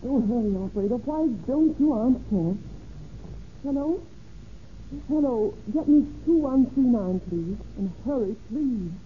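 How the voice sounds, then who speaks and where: A woman's voice: a little high, expressive, unhurried. An actress in 1930s radio style playing an anxious banker's wife, fretful and urgent about her missing husband. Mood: frantic, urgent. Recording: hissy, narrow-band old radio recording.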